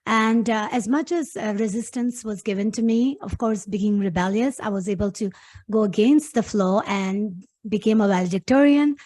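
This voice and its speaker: A woman's voice: mid-range voice, moderate pace, a little mellow. A middle-aged woman from Pakistan, a guest talking earnestly in a casual interview and laughing easily.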